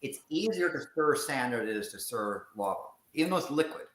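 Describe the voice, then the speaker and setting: A man chatting on a stream, lively in his explanations and often repeating words as he stumbles into a point. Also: medium pitch, brisk. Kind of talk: casual chat on a stream. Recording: echoey room with faint noise.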